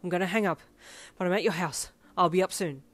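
A woman's voice: fairly low pitch, fast talker, natural voice. Woman as an earnest, protective, formal young man. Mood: urgent, reassuring. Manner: formal, polite, urgent.

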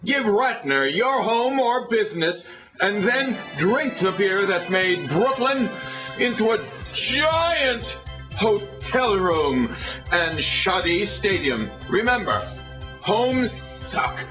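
A middle-aged man giving a sarcastic, theatrical mock infomercial pitch. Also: sing-song, slow pace, over music. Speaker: infomercial pitchman in a satirical rant.

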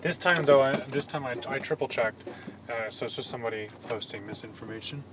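A man's voice: medium pitch, brisk. A young man chatting casually as a vlogger inside a van. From Canada. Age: 20s to early 30s. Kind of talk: casual chat. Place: inside a small camper van, parked. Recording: background noise in a van.

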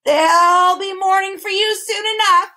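A woman's voice: very high-pitched, fairly even intonation, unhurried, bright, forceful tone, pitched above the performer's own voice, exaggerated voice. A woman voicing a filthy, malicious, haughty male bird who cackles and shrieks in a storybook. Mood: menacing. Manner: cackling, shrieking, twisting others' words.

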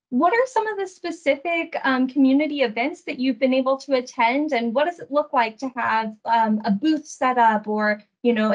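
Young woman host, higher voice, friendly and polished, slightly dull video-call sound. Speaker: interview host.

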